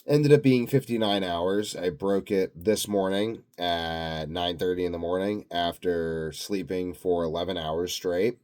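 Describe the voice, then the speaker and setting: A man's voice: low-pitched, steady tone, measured pace, hardly any pauses, darkish tone. A tired, sleepy man rambling through an unscripted video diary in a dry, tongue-in-cheek, grandiose way. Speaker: vlogger, channel host.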